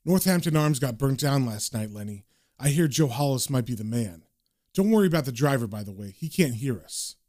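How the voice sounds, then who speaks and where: A man's voice: mid-range voice, animated, fairly quick, a little mellow, no echo, pitched below the performer's own voice, lightly acted. A man voicing a cynical British occult detective in a dry, muttered, slangy way, as in a comic book story. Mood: menacing.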